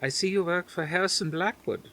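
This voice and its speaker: A man's voice: high voice, a little fast, sharp tone, slight background noise, pitched above the performer's own voice. A stately grandmother near seventy, with a faint Dutch lilt, firm yet soothing, as a male narrator voices her in this audiobook. She speaks in formal, careful phrases, her voice lifted well above a man's usual register. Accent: faint Dutch, as put on.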